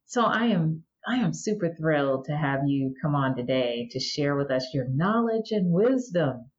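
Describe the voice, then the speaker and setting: Low-voiced eager woman podcast host. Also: expressive, moderate pace, highs cut off, slight background noise. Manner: encouraging, eager, repeats words. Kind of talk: enthusiastic podcast hosting.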